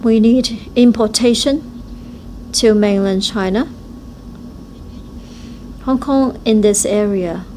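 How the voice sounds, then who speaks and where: A woman interpreting speeches live at a forum, speaking in short, halting phrases. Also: mid-range voice, mellow, slight background noise.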